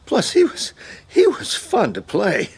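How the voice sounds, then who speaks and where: An actor playing a wounded, eccentric older man in an audio drama, dying yet calm and whimsical, rambling wryly and haltingly. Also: high-pitched, creaky, full character voice. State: weak from a gunshot wound. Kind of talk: naturalistic audio drama acting.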